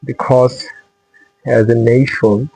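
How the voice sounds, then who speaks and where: Gravelly Bangladeshi man, earnest teaching tone. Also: mid-range voice, level tone, slow, mellow, rough recording. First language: Bengali. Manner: earnest, repetitive phrasing in non-native English. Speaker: English-learning channel host. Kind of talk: motivational talk to camera.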